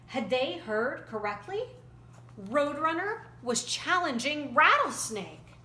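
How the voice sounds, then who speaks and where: A woman storyteller narrating a folktale in an expressive, dramatic and deliberate way. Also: sits high. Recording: roomy echo, faint background noise.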